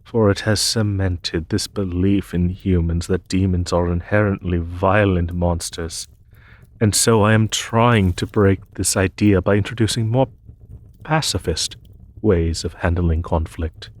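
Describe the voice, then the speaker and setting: Male actor as demon emperor, deep voice, unhurried pace, solemn, measured, formal, noble pacifist, fantasy roleplay. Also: fairly even intonation. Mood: solemn, resolute. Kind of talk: fantasy audio roleplay, spoken softly to one listener.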